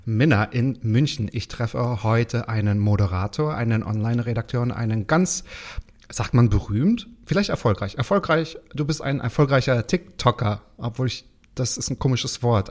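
A man's voice: medium pitch, darkish tone. A man in his thirties hosting a podcast interview, chatting casually with playful, joking banter. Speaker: podcast host.

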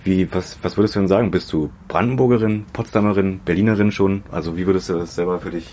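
A man with a very deep voice who talks fast and teases in a joking way. He hosts a casual street interview outdoors in a residential area. Loud outdoor noise sits under his voice. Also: steady tone. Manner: joking, teasing banter. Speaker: interviewer and vlog host.

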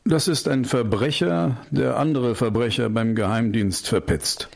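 A father in a radio play, speaking slowly in a husky, creaky voice. He is terse and deadpan with his child. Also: mid-range voice. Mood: laconic. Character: laconic, slow-answering father of a young child.

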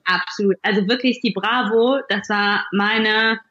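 A woman with a sharp, forward voice, chatting casually as a guest and sharing her own anecdotes. She is from western Germany, and the recording sounds slightly muffled. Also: mid-range voice, fairly even intonation, measured pace. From Duisburg area, western Germany. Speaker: podcast guest. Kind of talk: casual chat, personal anecdotes.

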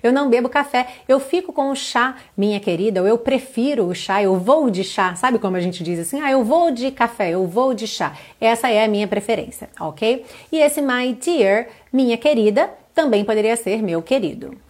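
Brief: woman from Brazil, English teacher, high-ish voice, careful slow reading of lines. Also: lively intonation, a little mellow. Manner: reads English lyrics slowly and carefully, then translates. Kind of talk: online language lesson.

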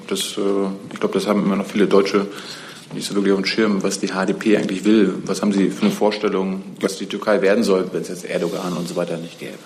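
A man's voice: sits low, slight background noise. A man, a journalist putting informal, plainly phrased questions to a guest at a press conference.

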